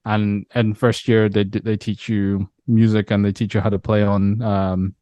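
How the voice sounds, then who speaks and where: A man from Myanmar in his thirties with a Scottish accent, talking through his life story as an interview guest, relaxed and reflective with many repeated words. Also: lowish voice, normal speed, no room sound, muffled recording. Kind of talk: casual interview chat.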